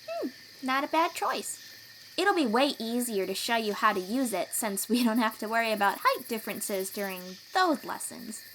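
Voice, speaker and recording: A woman playing a young, protective sea-dweller warrior in an audio roleplay, encouraging and gently teasing as she talks straight to the listener. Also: on the high side, sing-song, moderate pace, slight background noise, rough recording. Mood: encouraging, instructive.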